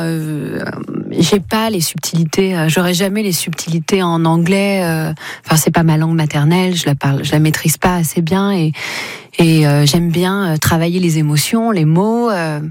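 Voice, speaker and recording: A woman with a low, gravelly voice talks about music as a radio guest. She hesitates often and gropes for words, filling the gaps as she goes. The recording is dry and close to the mic. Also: moderate pace, a little mellow, no echo. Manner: hesitant, searching for words, many fillers. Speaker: singer-songwriter guest. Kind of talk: casual interview talk.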